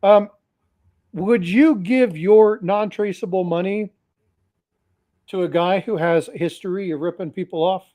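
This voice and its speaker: A man's voice: high voice, unhurried, pauses often, dark tone, no echo. A man hosting a livestream, talking casually and cautioning his audience, often repeating phrases and addressing them directly. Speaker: host. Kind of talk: livestream commentary.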